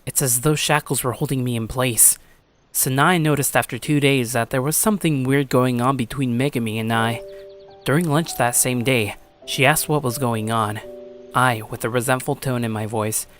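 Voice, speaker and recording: A man playing a troubled, earnest high school boy who narrates his own story in a sincere, brooding way. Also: fairly even intonation, normal speed, fairly bright tone, soft background music, natural voice. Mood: troubled, subdued. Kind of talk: scripted dramatic narration and character dialogue.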